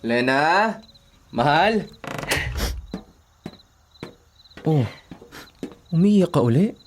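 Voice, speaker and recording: A man playing a devoted young working-student boyfriend in a Filipino radio drama, speaking gently, earnestly and reassuringly. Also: fairly high pitch, lively intonation, slow, over music. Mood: concerned. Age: young adult.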